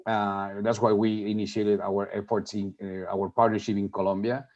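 A man from Mexico speaking as an interview guest, his fairly low voice coming through a dull, lo-fi line. He searches for words, restarting phrases and filling gaps with "uh" and "you know". The delivery is earnest and careful. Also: flat delivery, darkish tone. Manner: halting, many restarts and fillers. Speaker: guest, company executive. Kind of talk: interview answers.

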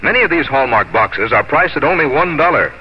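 A man's voice: mid-range voice, sing-song, brisk. An American man announcing a scripted 1950s radio commercial in a polished, emphatic sales delivery. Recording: dull 1950s radio, faint noise.

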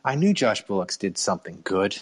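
A man's voice: lowish voice, very animated, a little fast, breathy edge. A man hosting a casual sports fan chat, often repeating phrases as he gets going. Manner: stammering, repeats phrases as he starts. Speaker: sports talk show host.

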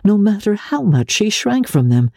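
Woman narrator for classic novel: dark, husky voice, close dry sound, expressive measured storytelling. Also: medium pitch, no room sound. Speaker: audiobook reader, show host. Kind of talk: dramatic reading of classic literary fiction.

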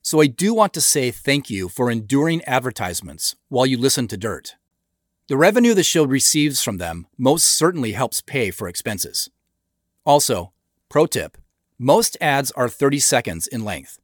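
A man's voice: medium pitch, sing-song, normal speed, no echo, studio quality. A man giving scripted director's commentary as a show's creator, explaining things appreciatively.

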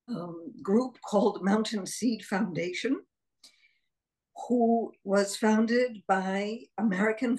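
A woman in her sixties, born in Israel, speaking as a guest psychologist and telling her story in a reflective interview, measured and full of pauses. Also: mid-range voice, poor audio. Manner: slow, measured, with frequent pauses and fillers.

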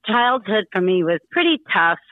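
A woman's voice: mid-range voice, very animated, normal speed, no echo. A woman in her fifties, from the Philippines and native in Tagalog, telling personal stories as an interview guest in an earnest, reflective way. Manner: earnest, reflective, laughs often. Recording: tinny phone line, dry.

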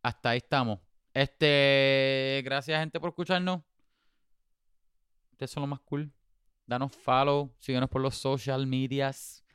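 Very clear-voiced podcast host. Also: male voice, medium pitch, steady tone, measured pace, long pauses, fairly bright tone, no room sound, studio quality. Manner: playful, exclamatory, laughs often. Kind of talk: casual podcast chat mixing Spanish and English.

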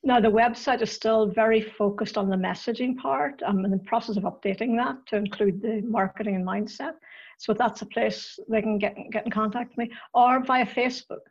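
Northern irish lady guest on a podcast, chatty, husky and a bit gravelly, dull lo-fi sound. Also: a little high, fairly even intonation, a little fast. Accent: Northern Irish, softened by years in the US. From Northern Ireland. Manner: chatty, repeats words while finding her thoughts. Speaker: podcast guest, marketing coach. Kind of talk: casual interview chat.

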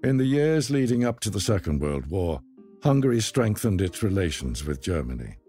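A man reading a scripted documentary narration in a measured, dramatic storytelling manner. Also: deep voice, sing-song, music in the background.

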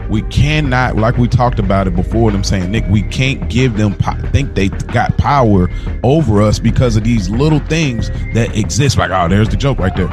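American male podcast co-host, lowish voice, loose slangy delivery with repeated phrases, clear music underneath. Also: normal speed. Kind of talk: casual podcast chat. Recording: music playing behind the voice. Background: clear background music.

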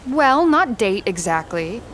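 A woman's voice: mid-range voice, very animated, slow, fairly bright tone, a little hiss or hum. A woman playing a cocky, wry young undercover spy in an audio drama. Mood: cagey, teasing.

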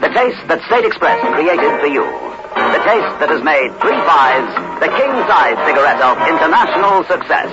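A man's voice: on the high side, sing-song, moderate pace. A man reading 1960s radio advertising as an announcer, emphatic and polished. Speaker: commercial announcer. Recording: dull vintage radio recording with loud music.

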